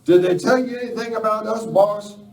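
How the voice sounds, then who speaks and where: Male actor as veteran outlaw, high voice, slow folksy delivery, Western radio play in a roomy, echoing space. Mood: dismissive. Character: seasoned male outlaw hand, gruffly advising the kid. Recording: echoey hall, distant mic, faint noise.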